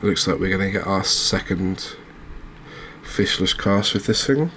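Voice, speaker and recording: A man giving gentle, unhurried ASMR commentary over a cozy fishing game, with hesitant, understated phrasing. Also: deep voice, lively intonation, slight background noise. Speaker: host, video game streamer.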